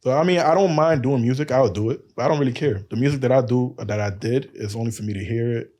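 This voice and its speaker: A man with a deep voice and a lisp, telling loose stories on a casual podcast and acting out quoted lines. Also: quick pace, warm tone. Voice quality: says he has a lisp. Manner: loose storytelling, acts out quotes, laughs often. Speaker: podcast cohost.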